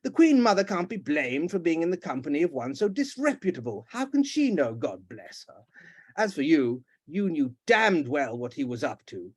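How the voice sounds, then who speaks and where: A man voicing a pompous, ranting old male duke who shouts and blusters. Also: a little high, big pitch swings, normal speed, breathy edge. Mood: blustering.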